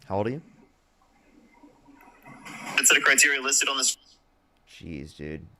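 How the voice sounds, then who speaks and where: A man's voice: mid-range voice, big pitch swings, measured pace, pushed and bright, slight background noise. A young man hosting a live-streamed debate, talking bluntly and repeating his screening questions to each new guest. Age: young adult. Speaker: livestream debate host.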